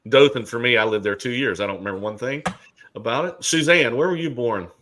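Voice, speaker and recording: A man's voice: mid-range voice, a little fast, crowd noise. A middle-aged man from Alabama hosting a casual talk, chatty and joking, with many fillers. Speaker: host. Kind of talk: casual chat.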